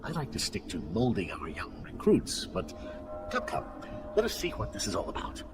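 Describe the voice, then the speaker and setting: Low-voiced, very expressive mentor. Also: male voice, moderate pace. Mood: gracious, curious. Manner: gracious, formal, warmly expressive. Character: kindly, cultured male master and mentor of recruits. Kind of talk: video game cutscene acting. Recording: loud music behind the voice.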